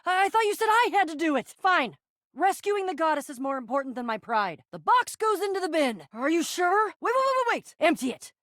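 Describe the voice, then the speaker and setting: A woman voicing an excitable, bragging adventurer in a video game, earnest and theatrical, often stammering. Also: very high voice, a little fast, dry recording, studio quality, full character voice. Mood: flustered, urgent. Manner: stammering, theatrical, swinging from gushing to sulky. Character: excitable, bragging adventurer, a living household object, earnest and dramatic. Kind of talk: video game character voice acting.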